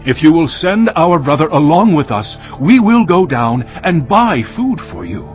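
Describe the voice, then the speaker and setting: A man's voice: fairly high pitch, sing-song, unhurried. An actor playing an earnest, insistent grown son urging his father, solemn and urgent, in a dramatized audio Bible. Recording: slightly muffled, music behind.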